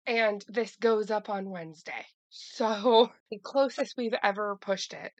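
A woman co-hosting a casual podcast chat, hoarse from a cold, talking in a chatty way with lots of restarts. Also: medium pitch, a little slow, no room sound, highs cut off. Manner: chatty, many fillers and restarts. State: hoarse from a cold, losing her voice. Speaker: podcast co-host.

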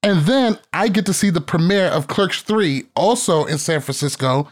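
A man's voice: sits high, lively intonation, normal speed. A man in his thirties hosting a podcast, chatting casually and brashly, swearing freely. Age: 30s. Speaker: podcast host.

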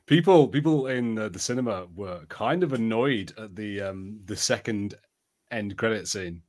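A man's voice: on the low side, moderate pace, a little mellow. A man co-hosting a podcast, chatting casually about films with an enthusiastic, conversational way of telling things. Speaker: podcast co-host. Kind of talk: casual review chat.

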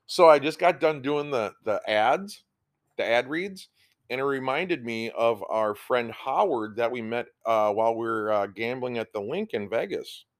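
Man, middle-aged, podcast host, casual rambling chat, lots of ums and restarts, mid pitch, home recording. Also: animated, a little slow.

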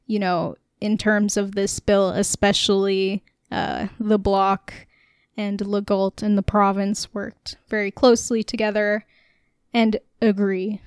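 A woman's voice: medium pitch, flat delivery, takes it slowly, clear, resonant tone, dry recording, studio quality. A woman co-hosting a casual political podcast, explaining at length with a wry, sarcastic edge and frequent laughs. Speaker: podcast co-host.

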